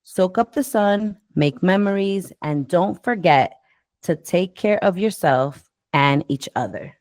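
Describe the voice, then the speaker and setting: A woman hosts a podcast with a lower-pitched voice, upbeat and welcoming. The sound is close and dry with no room around it. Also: slow, a little mellow, no room sound. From Dominican Republic. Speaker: podcast host. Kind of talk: podcast hosting, scripted intro and chat.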